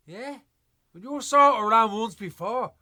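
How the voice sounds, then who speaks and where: Indignant high-pitched Irish neighbour. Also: male voice, animated, normal speed, pushed and bright, exaggerated voice. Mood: indignant, confrontational. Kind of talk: radio play acting.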